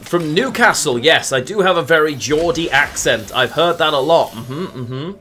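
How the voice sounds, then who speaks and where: Bright-voiced English man streaming a game. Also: on the high side, animated, moderate pace, faint music behind. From England. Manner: thinks aloud, mutters fillers, answers chat. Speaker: streamer playing a card game. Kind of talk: casual live-stream chat while playing.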